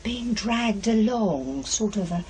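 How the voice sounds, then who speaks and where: Blunt working-class English mum, lively pitch. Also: female voice, slow pace, very noisy. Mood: chatty recounting. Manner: colloquial, blunt, nagging. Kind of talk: naturalistic radio drama acting.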